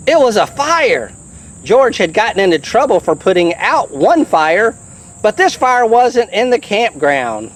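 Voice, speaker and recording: A person reading a children's picture book aloud in an animated, chatty way, with playful asides to the listener. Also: mid-range voice. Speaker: storybook reader.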